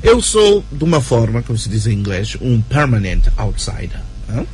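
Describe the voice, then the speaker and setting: A writer and journalist as a radio interview guest, talking in an animated way with many fillers and tag questions. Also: male voice, mid-range voice, moderate pace, faint music behind. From Angola. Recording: radio broadcast recording.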